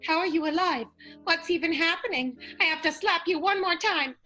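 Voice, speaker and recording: Lady doing a dramatic wounded starlet, super high voice, radio soap, music under it. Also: moderate pace, pushed and bright. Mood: reproachful. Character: glamorous, melodramatic, wounded female stage star. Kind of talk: improvised radio soap melodrama.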